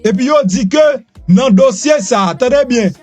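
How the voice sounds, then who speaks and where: A man with a Haitian accent giving lively, teasing news commentary, switching between Creole, French and English. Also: very high voice, a little slow, dry recording, soft background music. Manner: lively, repetitive, teasing asides.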